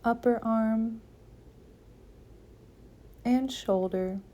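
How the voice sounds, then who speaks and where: Slow, mellow woman guiding meditation. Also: on the high side. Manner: calm, soothing, gentle instruction with long pauses. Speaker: meditation guide. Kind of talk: guided yoga nidra meditation.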